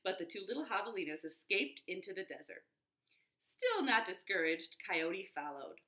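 Animated American woman reading a picture book. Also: medium pitch, moderate pace. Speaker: children's librarian, storytime reader. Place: living room at home.

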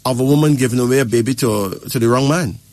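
A man holds forth like an adviser, explaining at length and pausing to ask if he is followed. His voice sits at an ordinary middle pitch. The sound is close and dry, with no room around it. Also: a little mellow, no echo. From Barbados. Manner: lecturing, keeps checking with "right?" and "okay?". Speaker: spiritual advisor. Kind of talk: casual advice talk.

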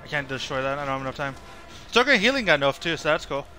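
A man's voice: fairly high pitch, sing-song, quick pace, sharp tone, soft background music. A man giving casual live commentary as he streams a game, muttering mildly frustrated asides to himself. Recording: clear game noise behind voice. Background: horror game sound effects and ambience.

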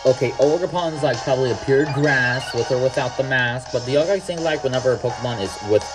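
A young man chatting loosely as he plays, wandering from topic to topic and cursing without much thought. His voice sits at an ordinary middle pitch. Loud game music plays under everything he says. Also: fast talker. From Mexico. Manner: rambling, off-topic, swears freely. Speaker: gaming YouTuber. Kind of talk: casual let's-play commentary.

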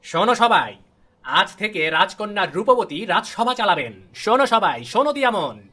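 A man's voice: high-pitched, very animated, normal speed, sharp tone, exaggerated voice. A man voicing a loyal, deferential royal minister in a storybook cartoon, speaking formally and dutifully. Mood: proclaiming.

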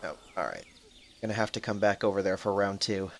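A man's voice: lowish voice, animated, fairly quick, gravelly. Rambling young man narrating gameplay. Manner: rambling, chatty, swears at the game. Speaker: gaming channel host. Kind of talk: casual let's-play commentary. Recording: faint background noise, voice drops out when soft.

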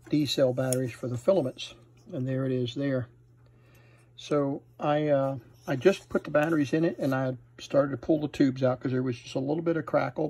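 A middle-aged American man with a husky, slightly rough voice at an average male pitch. He talks casually through a hands-on repair, pausing to think aloud. His delivery is informal and a little hesitant, like a hobbyist host showing his work. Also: warm tone. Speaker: host of a vintage radio repair channel. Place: indoor workshop with electronics benches.

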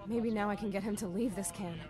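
A woman's voice: mid-range voice, fairly quick, lightly acted. A woman playing a weary, tough young vault dweller in a video game, blunt and informal as a protective sister. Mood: relieved, determined. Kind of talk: video game dialogue acting. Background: game music.

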